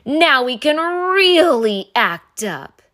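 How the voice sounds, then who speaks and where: Storybook schoolkids, played by a woman: young, eager, exclaiming, pitched high with lively swings. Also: extremely slow, heavily acted. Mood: gleeful, rebellious. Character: excitable group of young schoolchildren, boys and girls.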